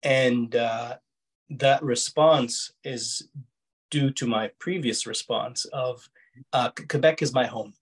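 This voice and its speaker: A man's voice: mid-range voice, slow. A middle-aged man with a slight foreign accent giving reflective interview answers over a video call, explaining himself hesitantly and often rephrasing. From Egypt, Kuwait and Canada. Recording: husky-sounding video call audio.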